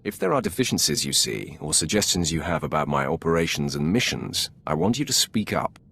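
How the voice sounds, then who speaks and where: Very deep, gravelly Sith lord. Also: male voice, expressive, brisk, fairly bright tone, exaggerated voice. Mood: curt, commanding. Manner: curt, authoritative, dry. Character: commanding male Sith lord, imperious superior officer. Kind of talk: scripted video game dialogue.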